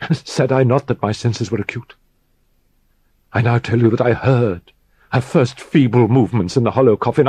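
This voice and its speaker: A man's voice: mid-range voice, darkish tone, breathy edge, no room sound, voice pushed up. A man voicing a crazed, terrified, guilt-ridden man with a tormented, theatrical delivery in a gothic horror audiobook. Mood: anguished dread. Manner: tormented, theatrical, repeating words, building to shrieks.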